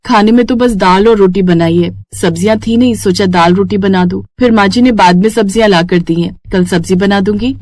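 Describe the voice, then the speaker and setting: A woman's voice: medium pitch, brisk, hardly any pauses, darkish tone, dry recording, lightly acted. A woman voicing a patient young mother and daughter-in-law, earnest and reasoning, in a cartoon moral story. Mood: earnest, concerned.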